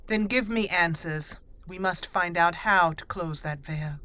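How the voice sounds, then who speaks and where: A woman's voice: fairly low pitch, unhurried, sharp tone. A woman voicing a stern, skeptical elf mage while roleplaying a video game, speaking in a firm, plain way.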